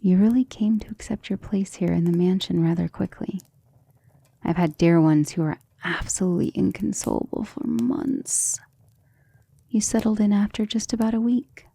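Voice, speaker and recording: A woman voicing a centuries-old vampire lover in an ASMR romantic roleplay, soft, breathy and often whispering, intimate and gentle, with formal wording. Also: mid-range voice, normal speed. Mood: admiring, affectionate. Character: centuries-old female vampire lover, tender, protective, self-assured.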